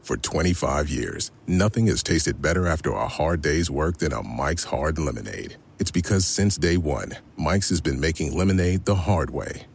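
A man reading scripted commercial narration as an announcer, in a gravelly, husky voice. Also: rumbling low, expressive, normal speed, few pauses.

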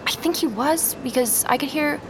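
An actress playing an earnest, rattled young woman investigator in a naturalistic audio drama, speaking haltingly as she records her search. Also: high voice. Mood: troubled, explaining. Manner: hesitant, halting, with repeated words. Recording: street and vehicle noise behind.

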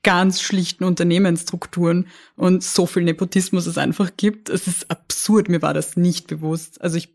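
A woman's voice: lowish voice, fairly even intonation, moderate pace, vocal fry, darkish tone. A young woman from Austria, a lawyer talking candidly as an interview guest, often restarting and repeating words. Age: young adult. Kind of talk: casual interview conversation.

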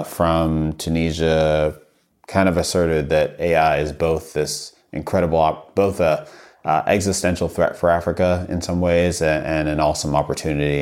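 A male interviewer with an extremely deep voice. He speaks casually, stopping and correcting himself as he recounts a point. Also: a little mellow. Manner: gives short backchannels, then recounts in halting sentences. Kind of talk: casual conversation.

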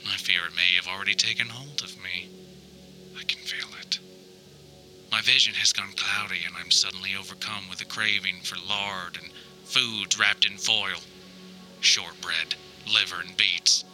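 Man acting a menacing, shrill religious zealot mother. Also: steady tone, normal speed, pushed and bright, faint music behind, rough recording. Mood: ominous, entranced. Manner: theatrical, ominous preaching with whispers and shrieks. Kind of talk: dramatic audio diary monologue.

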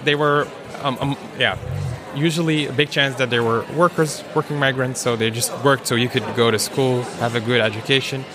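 A man's voice: medium pitch, steady tone. Hesitant male panel moderator over background music. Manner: hesitant, searching, pausing often. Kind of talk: informal panel discussion.

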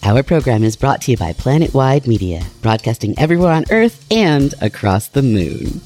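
A man's voice: medium pitch, very animated, normal speed, a little mellow. A man reading a scripted sponsor announcement in the role of a broadcast announcer. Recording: music behind voice.

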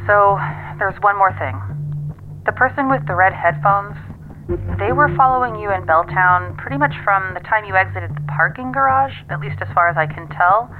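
A woman's voice: mid-range voice, normal speed. A woman acting in an audio drama as a sardonic, self-assured investigator relaying findings matter-of-factly over a phone line. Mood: cautioning. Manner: matter-of-fact, dryly wry. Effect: telephone filter. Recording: tinny phone line, music underneath.

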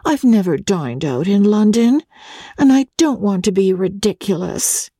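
A woman's voice: medium pitch, lively intonation, unhurried, warm tone, husky, no room sound, studio quality, pitched above the performer's own voice. A woman voicing a shy young society bride in a storybook reading, plaintive, girlish and coaxing. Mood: pouting. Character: shy, pouting young American society bride fretting sweetly.

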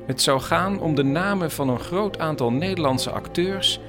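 A man's voice: fairly high pitch, normal speed, over music. Man narrating a radio documentary.

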